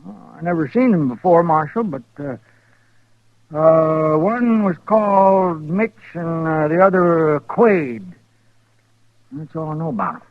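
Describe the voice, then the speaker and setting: An actor playing a meek, lonely rancher with a homespun, hesitant manner and a rural Western American accent in an old radio drama. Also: male voice, on the high side, expressive, unhurried, dry recording. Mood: plaintive. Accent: rural American West. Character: folksy, lonely male rancher, meek old-West prospector. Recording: dry, muffled old radio recording.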